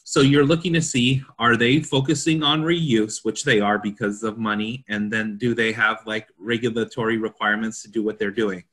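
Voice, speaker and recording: A man's voice: on the low side, flat delivery, normal speed, few pauses, a bit bright. A man working as a consultant, explaining a technical standard informally and in plain terms in a discussion. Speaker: consultant panelist.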